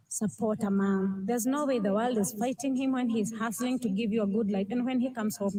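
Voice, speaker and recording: A woman's voice: fairly high pitch, mellow, husky, rough recording. A woman with an African accent speaking earnestly, admonishing and pleading straight to her listeners. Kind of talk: direct address opinion monologue to camera.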